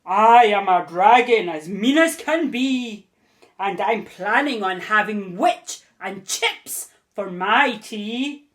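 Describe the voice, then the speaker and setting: Storybook dragon, male, mean and greedy; loud, slow delivery read by a woman. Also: animated. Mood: menacing. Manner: loud, slow booming threats.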